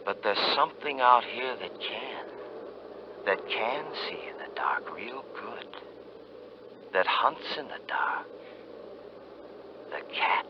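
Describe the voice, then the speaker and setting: A haunting voice of fear inside a hunter's head, slow and insinuating as it whispers warnings. It sits low and drags each phrase out. The sound is muffled, like an old radio broadcast. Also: male voice, lively intonation, heavy background noise. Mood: menacing. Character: eerie inner voice of caution, male, taunting. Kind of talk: 1950s radio drama acting. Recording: muffled vintage radio recording.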